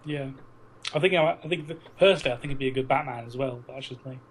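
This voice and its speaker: A young man co-hosting a casual film podcast, chatting in a halting way and repeating words as he goes. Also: medium pitch. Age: young adult. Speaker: podcast co-host. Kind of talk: casual chat about films. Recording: noisy amateur podcast recording.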